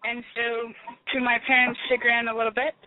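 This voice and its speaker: A woman's voice: on the high side, flat delivery, moderate pace, slight background noise. A Texan woman in her thirties, a business owner, chatting as an interview guest with many ums. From Texas, United States. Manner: chatty storyteller, frequent ums. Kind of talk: casual interview chat. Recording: tinny phone line, dry.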